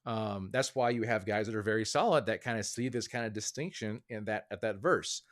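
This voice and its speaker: A man's voice: on the low side, brisk. A man as a podcast guest, explaining his views in a hedging, thoughtful way with many fillers. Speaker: author and guest. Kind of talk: casual interview talk.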